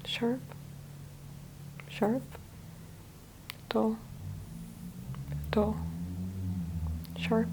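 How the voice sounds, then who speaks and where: Female ASMR patient, hushed short replies, extremely unhurried, slightly higher relaxed voice, faint noise. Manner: quiet, terse one-word answers. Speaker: patient in ASMR exam roleplay. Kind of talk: soft-spoken ASMR roleplay responses.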